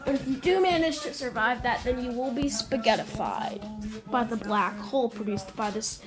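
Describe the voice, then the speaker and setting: A woman narrating a mock emergency alert scenario, halting and sometimes repeating or stumbling over her words. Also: fairly high pitch, over music. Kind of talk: scripted emergency announcement with ad-libbed reactions.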